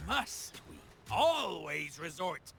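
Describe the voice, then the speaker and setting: A man's voice: on the high side, very animated, takes it slowly, creaky, full character voice. A man voicing a cowardly, greedy pirate captain in a video game, theatrical and wheedling, stammering as he bargains.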